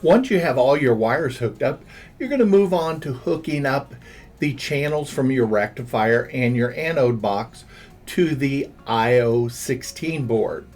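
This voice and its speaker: A man's voice: medium pitch, expressive, a little slow, darkish tone. A man reading a scripted instructional narration as a tutorial narrator, guiding the listener step by step. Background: background music.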